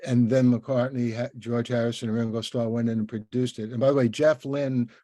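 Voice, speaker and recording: A man in his seventies hosting a conversational interview, speaking in a halting, thoughtful, rambling way with many restarts. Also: medium pitch, little pitch movement, mellow, dry recording, studio quality. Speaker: interviewer and host.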